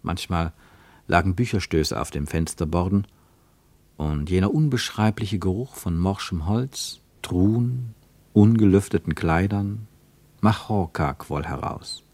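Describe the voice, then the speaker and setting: A man narrating a literary radio drama in a measured, storytelling way. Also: deep voice, darkish tone, no room sound.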